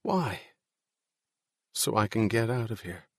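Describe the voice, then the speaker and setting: A man's voice: fairly low pitch, expressive, slow pace, no room sound, heavily acted. Guy playing a glum anxious fella in an audiobook, fed up, wants out, dry close sound. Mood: dismayed. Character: glum, anxious, defeated man longing to escape his life.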